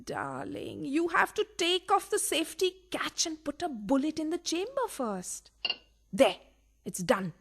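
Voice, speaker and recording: A woman's voice: high-pitched, very animated, measured pace, vocal fry, full character voice. A woman acting an anxious, melodramatic mistress with a practical streak in a farcical radio play. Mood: coolly practical.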